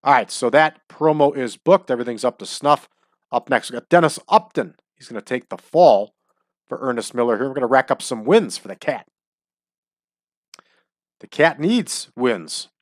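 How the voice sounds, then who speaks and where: A man's voice: medium pitch, expressive, normal speed, dry recording. A man talking through a wrestling booking game with chatty, opinionated asides. His voice sits in a middle range, and his nose sounds stuffy and runny from allergies. The sound is dry and close. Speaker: let's-play host. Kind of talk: casual gameplay commentary. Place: at home.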